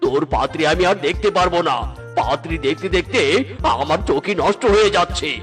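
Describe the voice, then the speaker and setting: A man's voice: a little high, expressive, brisk, a bit bright, soft background music. A man playing a scolding, exasperated tiger matchmaker uncle in a cartoon, often repeating his commands. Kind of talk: cartoon voice acting.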